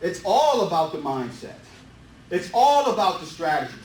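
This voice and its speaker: A man's voice: high-pitched, big pitch swings, moderate pace, a little mellow. A man giving a motivational seminar presentation in an emphatic, repetitive way, full of rhetorical questions. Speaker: real estate seminar presenter. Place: indoor meetup presentation room. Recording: distant, echoey live room with background noise.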